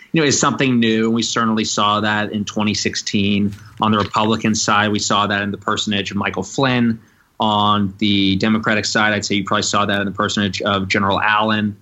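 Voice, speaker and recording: A man answering interview questions as a guest, thoughtful and measured, with many "you know" fillers. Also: lowish voice, pushed and bright, muffled recording. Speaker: podcast guest, novelist and former Marine.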